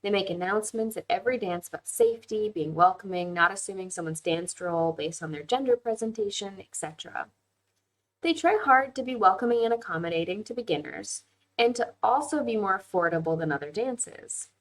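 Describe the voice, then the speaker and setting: A woman's voice: mid-range voice, lively intonation, brisk, low-quality recording. A woman hosting a casual live online class, reading viewer comments and talking them through in a chatty, candid way. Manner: chatty and candid, with frequent um fillers and easy laughs.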